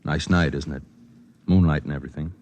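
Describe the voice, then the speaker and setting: A wry newspaperman with a deep voice, telling his story in terse, matter-of-fact phrases. It has the style of 1950s radio drama. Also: fairly even intonation, a little fast, a little mellow. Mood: low-key, wry. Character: wry, hard-working male newspaper reporter narrating his story. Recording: vintage 1950s radio broadcast.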